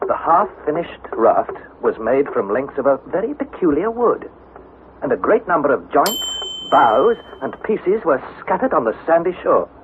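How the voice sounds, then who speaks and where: Young male adventurer-narrator, formal, highly animated delivery, vintage radio drama, tinny noisy recording. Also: normal speed. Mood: measured, wondering. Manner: formal, precise, dramatic storytelling. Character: Harry, earnest young adventurer narrating his perilous journey.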